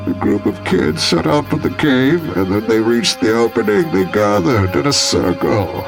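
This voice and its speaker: Menacing male villain, grand horror storyteller, gravelly, wildly swinging pitch, radio-play drama, music underneath. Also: very animated. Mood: ominous storytelling. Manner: grandiloquent, dramatic, drawn-out delivery. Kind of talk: theatrical horror storytelling, classic radio style.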